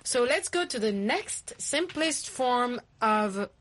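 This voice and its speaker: A woman's voice: on the high side, animated, slow, fairly bright tone. A woman from Kenya hosting a conversational English lesson, playfully repeating and explaining what her guest says. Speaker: host teaching English vocabulary.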